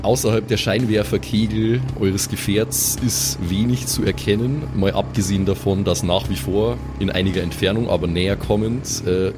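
Lowish-voiced game master. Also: male voice. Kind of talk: improvised narration of a pen-and-paper audio play. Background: music and outdoor ambience.

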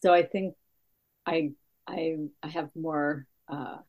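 A middle-aged woman stand-up comedian with a gravelly voice, talking in a halting, casual interview with many fillers. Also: steady tone, darkish tone, lo-fi sound. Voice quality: gravelly, deeper voice.

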